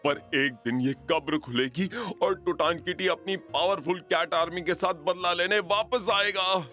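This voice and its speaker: A man's voice: high-pitched, a little slow, pushed and bright, soft background music. A man in a Hindi cartoon dub playing a gullible, theatrical museum tour guide, earnest and dramatic. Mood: ominous. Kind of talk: Hindi-dubbed cartoon acting.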